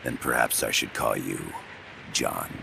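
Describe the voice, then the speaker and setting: A deep, gravelly older spy-professor. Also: male voice, noisy background, full character voice. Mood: quiet. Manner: soft-spoken, measured, quietly persuasive. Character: calm older male professor, secret spy. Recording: game cutscene with loud music behind.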